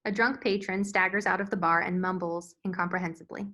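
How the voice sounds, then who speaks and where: A woman's voice: lowish voice, steady tone, brisk, bright, forceful tone. A woman reading scene headings and stage directions as the narrator of a screenplay table read.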